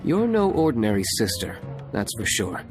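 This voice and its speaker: An actor playing a stern, reserved young tavern owner in a video game, speaking formally and with dry economy. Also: male voice, on the low side, moderate pace. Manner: deadpan, terse, formal. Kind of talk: English video game voice acting. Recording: music playing behind the voice.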